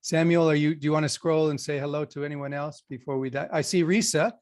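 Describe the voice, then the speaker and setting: A man's voice: on the high side, steady tone, fast, darkish tone. Friendly male host running a video call. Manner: friendly, guiding and organizing the call. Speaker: interview host. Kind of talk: casual video-call hosting.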